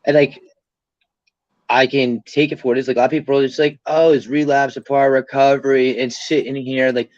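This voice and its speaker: A man's voice: medium pitch, level tone, a little fast, a little mellow, no echo, dull audio. A young man in recovery, talking candidly and casually as an interview guest, rambling with lots of fillers and swearing freely. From Pennsylvania.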